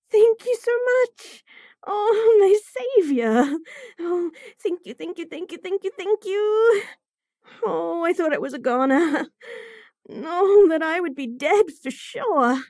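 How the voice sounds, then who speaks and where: A woman's voice: very high-pitched, animated, slow pace, darkish tone, no echo, studio quality, exaggerated voice. A woman voicing a bratty, flustered young bunny girl who stammers and giggles nervously in a roleplay audio.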